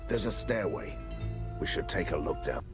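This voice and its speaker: Game hero: stoic monster hunter, very low voice, dry deadpan delivery, loud music underneath. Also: male voice, normal speed. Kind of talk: video game cutscene acting.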